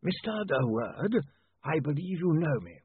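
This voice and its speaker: A man voicing a stiff, formal male zombie lawyer for an audiobook, with slow, drawn-out, quietly menacing delivery. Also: fairly high pitch, big pitch swings, husky, voice pushed up, full character voice. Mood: stiff menace. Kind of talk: audiobook character voice. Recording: band-limited, phone-like sound.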